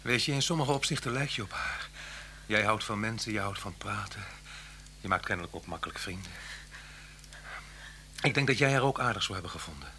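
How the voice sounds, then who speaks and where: An actor playing an unhappy, soft-spoken husband on the run in a Dutch radio play, speaking haltingly and often dropping to a near-whisper. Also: male voice, on the low side. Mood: wistful, bitter. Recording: hum and hiss of old radio recording.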